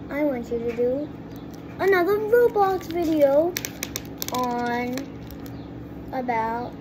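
A young girl rambles to her viewers from a home kitchen table, often stopping and restarting her sentences. Her voice sits a little high and moves slowly. The phone recording carries clear background noise from the room. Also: lively intonation. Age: child. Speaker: kid vlogger. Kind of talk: casual chat to camera. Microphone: phone propped on the table.

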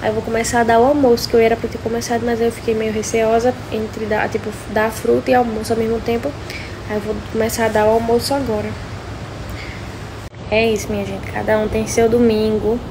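Slightly hoarse young Northeastern Brazilian woman, creaky. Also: on the high side, level tone, a little fast. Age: 20s. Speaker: social media vlogger. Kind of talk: casual chat to followers. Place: indoors at home, several rooms. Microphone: phone at arm's length. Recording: noisy phone recording at home.

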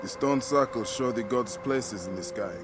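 An actor playing a stoic warrior father who speaks calmly and solemnly in a video game. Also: medium pitch, moderate pace, very noisy, close to an everyday voice. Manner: calm, solemn. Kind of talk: video game cutscene dialogue.

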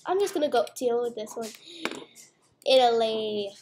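A woman's voice: fairly high pitch, animated, normal speed. A young girl chatting playfully as she plays a restaurant game at home, talking through each move. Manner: playful, chatty, narrating her own moves. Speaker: gamer. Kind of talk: casual gameplay chat. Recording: faint background noise with mouse clicks.

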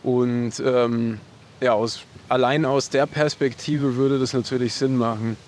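A man answering a street interview outdoors in thoughtful, measured sentences with frequent fillers, into a handheld mic. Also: mid-range voice, steady tone, a little hiss or hum.

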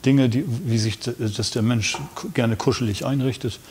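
A man's voice: mid-range voice, fairly even intonation, normal speed, a little mellow, slight background noise. A man in his fifties, a guest author in a studio interview, talking in a plain, matter-of-fact way.

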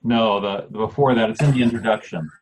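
A man leading an online group discussion over a video call, speaking haltingly with pauses mid-sentence. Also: on the low side, slight background noise. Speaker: class leader.

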